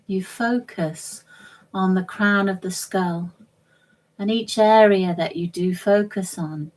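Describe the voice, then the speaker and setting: Very slow, gentle woman guiding meditation. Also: mid-range voice, rough recording. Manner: gentle, measured, soothing, short phrases with long pauses. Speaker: yoga nidra instructor. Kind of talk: guided meditation, relaxation instruction.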